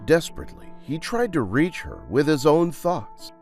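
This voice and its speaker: A man's voice: fairly high pitch, very animated, normal speed, a little mellow, faint music behind. A man narrating a dramatised storybook adventure in a theatrical, dramatic way.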